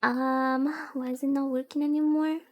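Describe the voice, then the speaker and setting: A woman's voice: sits high, level tone, normal speed. A woman VTuber livestreaming a visual novel, chatting casually, rambling and reacting aloud as she reads along. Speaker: VTuber streamer.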